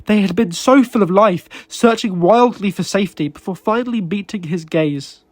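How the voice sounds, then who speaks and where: A man reading literary fiction as a narrator, with a deliberate, emotive delivery and dramatic pauses. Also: high voice, no echo. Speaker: narrator and author reading his own short story. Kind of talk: dramatic scripted audiobook narration.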